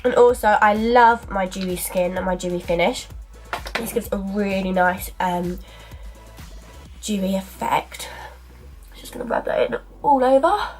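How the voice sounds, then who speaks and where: A woman's voice: medium pitch, a little slow, long pauses, a little mellow, faint music behind. A young woman in her twenties with a stuffy cold, chatting casually through a makeup tutorial in a small dressing area, recorded by a camera in front of her. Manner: chatty, filler-heavy, talks while applying makeup. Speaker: beauty vlogger. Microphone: camera in front at arm's length.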